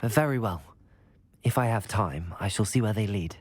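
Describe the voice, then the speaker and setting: A man's voice: on the low side, moderate pace, breathy edge, dry recording. A young man playing a polite, helpful teenage student in a video game, speaking in a courteous, earnest way. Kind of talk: video game dialogue acting.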